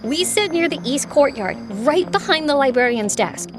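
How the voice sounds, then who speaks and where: A woman's voice: high voice, expressive, moderate pace. An actress playing an earnest teenage schoolgirl in an audio drama, confiding in a friend. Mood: insistent.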